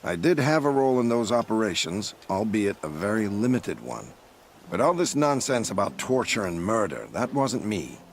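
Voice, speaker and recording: Low-voiced, smooth military officer. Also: male voice, sing-song, a little hiss or hum. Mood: smooth, evasive. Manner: polished, formal, measured politeness. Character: smooth, self-assured male military prefect feigning innocence. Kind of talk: video game dialogue acting.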